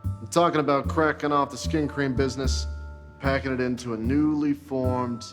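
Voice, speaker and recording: A man's voice: mid-range voice, fairly even intonation, normal speed, close to an everyday voice. Guy doing a slick shady tax hustler, cocky drawl, long echo, faint music. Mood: sly. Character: shady male tax schemer, smooth-talking and sly.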